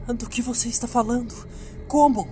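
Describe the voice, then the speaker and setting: A man's voice: extremely high pitch, fairly even intonation, moderate pace, dark tone, soft background music, voice pushed up. A Brazilian man voicing a frightened, desperate young woman in a horror story, pleading and distressed. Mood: confused.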